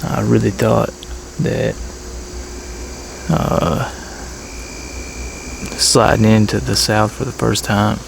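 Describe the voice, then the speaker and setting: A man talking casually to camera as a bowhunting vlogger, in a laid-back, halting way, outdoors. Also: on the low side, creaky, poor audio. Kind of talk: casual vlog talk to camera. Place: outdoors in a tree saddle at a pasture. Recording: outdoor ambience with faint insect noise. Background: insects and crickets.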